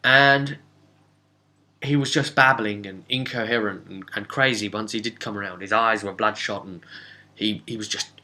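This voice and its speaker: A man recapping a tabletop game as game master, telling it casually with dramatic, halting pauses. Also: fairly low pitch, fairly even intonation, sharp tone.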